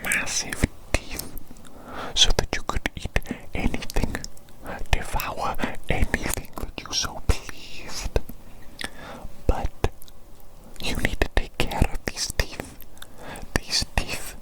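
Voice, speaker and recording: A performer voicing a pompous evil mad doctor in a whispered ASMR roleplay, deliberate and theatrical, repeating phrases. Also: bright, forceful tone, poor audio. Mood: pompous, scolding. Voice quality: breathy unvoiced whisper. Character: pompous evil mad doctor tending his monstrous creation.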